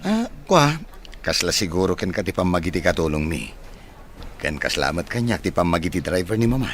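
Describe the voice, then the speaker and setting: Give me a smitten middle-aged bachelor with a very low bass voice. He is well-off, earnest and gullible, with an Ilocano accent and radio-drama delivery. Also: big pitch swings, fairly quick, a bit bright. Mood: sheepish, courting.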